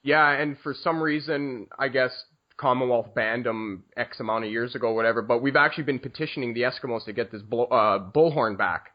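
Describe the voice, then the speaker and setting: A young man hosting a podcast, asking joking questions in a lively, casual way. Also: medium pitch, a little fast. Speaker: podcast host. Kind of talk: casual chat. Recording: dull lo-fi sound.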